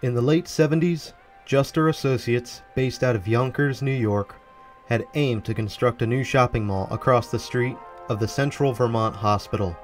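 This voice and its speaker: A man's voice: mid-range voice, normal speed. A man delivering scripted, documentary-style narration for a walkthrough video. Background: background music.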